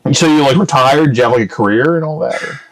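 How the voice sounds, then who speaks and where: A man's voice: medium pitch, quick pace. A man asking questions as an interviewer in a casual, informal way, recorded on location inside a home. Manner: informal, chummy address with frequent "man". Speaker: confrontational video interviewer. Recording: noisy on-location recording with clicks.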